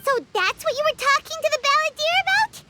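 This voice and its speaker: Video game fairy sidekick: extremely high childlike squeal, animated pitch, excitable, caring, loud background effects. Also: female voice, brisk, heavily acted. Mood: curious. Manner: speaks of herself in third person, exclamatory. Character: tiny childlike excitable fairy companion girl, cheeky and caring. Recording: game sound effects behind, clear noise.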